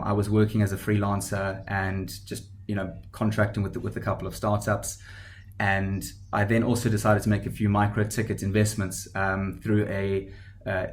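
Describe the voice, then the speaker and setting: A South African man in his thirties tells his career story as a podcast guest. His voice sits low, and he speaks in a level, monotone way, pausing often with ums and starting sentences over. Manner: hesitant, many ums and restarts. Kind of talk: conversational podcast interview.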